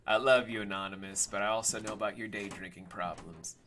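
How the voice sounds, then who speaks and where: A man's voice: on the low side, fairly even intonation, brisk, noisy background. A man in his late twenties hosting a casual interview, blunt and sarcastic, who swears freely. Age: late 20s. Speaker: podcast host interviewing a voice actor.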